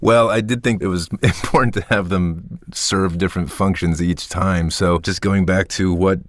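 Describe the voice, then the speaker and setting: A male actor talks casually as a guest in a discussion. His voice is low and recorded very dry, with a thoughtful, measured delivery. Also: fairly even intonation, dry recording. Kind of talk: casual post-show discussion.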